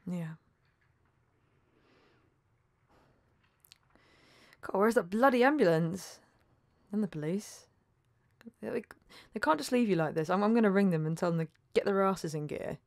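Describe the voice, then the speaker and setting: An actress with a British accent playing a downtrodden, hesitant call-centre worker, halting and informal, in an audio drama. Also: female voice, medium pitch, animated, dry recording, natural voice. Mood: concerned, flustered.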